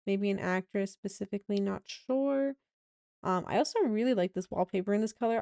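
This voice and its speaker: A young woman with a raspy voice, casually narrating a tour of a house she built in a game, rambling with many fillers. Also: mid-range voice, mellow, dry recording, studio quality.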